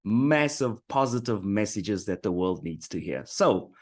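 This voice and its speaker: A man's voice: on the low side, animated, unhurried. A man hosting a marketing interview show, upbeat, hyping up his guest and often stumbling over his words. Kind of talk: casual interview chat.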